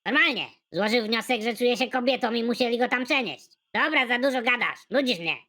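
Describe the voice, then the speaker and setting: The narrator voicing a grumpy, bossy male prison guard in an animated comedy, barking orders in an irritable tone. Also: medium pitch, fairly quick, voice pushed up, close to an everyday voice.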